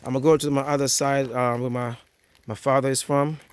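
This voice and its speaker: A man's voice: medium pitch, level tone, fairly quick, clear, resonant tone. A man with a Jamaican accent hosting a casual cooking vlog outdoors by a wood fire, chatty and easygoing, often saying "yeah, man".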